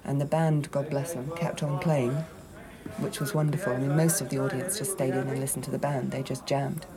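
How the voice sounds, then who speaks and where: A woman, a deputy stage manager, calling cues backstage and recalling a night's mishap in a brisk, practical way. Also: very deep voice, little pitch movement, a loud crowd. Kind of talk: backstage cue calls and interview recollection.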